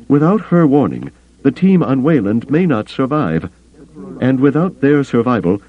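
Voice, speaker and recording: Guy doing a stuffy furry alien politician for a space audiobook, voice pushed higher, formal and worked up. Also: lo-fi sound, lightly acted. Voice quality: somewhat melodious. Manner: pompous, formal, protesting. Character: agitated, formal furry alien male counselor, stiffly dignified.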